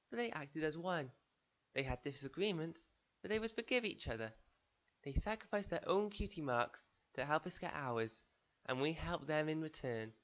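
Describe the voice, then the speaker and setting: A man tells a dramatic story in the first person. His voice sits a little high for a man, and he delivers it earnestly, as if confiding in an audience. The recording is somewhat dull and lo-fi. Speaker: fan fiction reader.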